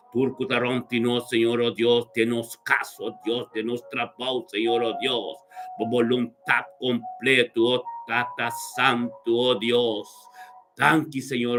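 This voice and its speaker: A man with a middling-pitched voice leads spontaneous, fervent prayer. He repeats his phrases and keeps dropping in short cries of praise. Music plays faintly behind him. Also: fairly bright tone. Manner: repetitive, with frequent interjected praise exclamations. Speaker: prayer leader.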